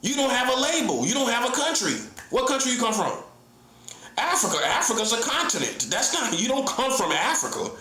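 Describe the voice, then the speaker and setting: Guy preacher riffing and joking, laughs a lot, high voice, echoey room. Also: sing-song, brisk, bright, forceful tone. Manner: teasing, laughs often, acts out mock dialogues. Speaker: self-styled prophet and online preacher. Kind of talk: casual comedic monologue.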